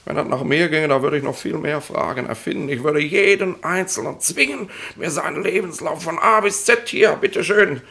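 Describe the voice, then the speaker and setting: An actor in a German radio play playing a bossy, nosy, smug middle-aged police inspector who rambles colloquially. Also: male voice, a little high, lively intonation, normal speed, gravelly, hardly any pauses, sharp tone, full character voice. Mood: eager, prying. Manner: colloquial, rambling, officious, tag questions.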